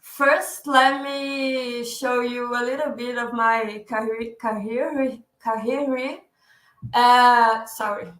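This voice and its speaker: A woman's voice: on the high side, fairly even intonation, slow pace, a bit bright. A Brazilian woman in her twenties with a Brazilian Portuguese accent, presenting a webinar with care and often searching for words. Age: 20s. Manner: careful, searching for words, often repeating herself. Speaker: content marketing specialist presenting a webinar. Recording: echoey room.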